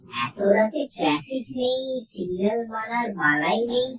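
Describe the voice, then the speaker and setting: A woman narrating a first-person horror story in a suspenseful, theatrical way with dramatic pauses. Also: fairly high pitch, expressive. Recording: roomy echo, distant from mic.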